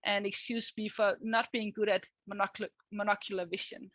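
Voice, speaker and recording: A woman's voice: mid-range voice, flat delivery, fairly quick, fairly bright tone, no echo. A woman with a Danish accent introducing a speaker as moderator, careful and reassuring. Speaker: session moderator. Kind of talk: introducing a speaker at an online conference. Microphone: video call.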